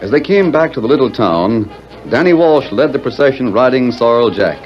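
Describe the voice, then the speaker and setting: A man narrates an old radio western in a medium-pitched, animated voice. His delivery is formal and dramatic, scripted storytelling through and through. The recording is muffled and noisy. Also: moderate pace, faint music behind.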